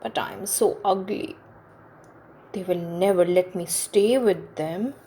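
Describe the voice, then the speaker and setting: A woman's voice: fairly low pitch, a little slow, mellow, slight background noise, natural voice. A woman voicing a sad, lonely young male duckling in a children's storybook, plaintive and self-pitying. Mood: wistful.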